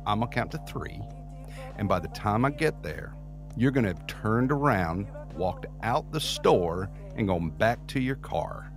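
An actor playing an evasive, smooth-talking man in an audio drama, flippant and wheedling. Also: fairly low pitch, expressive, unhurried, music in the background. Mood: cocky, wheedling. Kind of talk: scripted audio drama acting.

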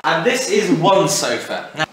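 A young man leading a jokey tour of a small house, talking in a deadpan tour-guide manner. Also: a little high, measured pace. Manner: deadpan mock-tour-guide delivery, drops to whispers. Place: small rented house, indoors. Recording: echoey rooms, camera off at a distance, noisy.

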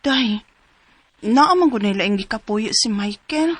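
High-voiced, gossipy Cebuano friend. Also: female voice, lively intonation, a little slow, breathy edge, no echo. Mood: concerned, confiding. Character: gossipy female friend, nosy, concerned tattletale. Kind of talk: radio drama acting.

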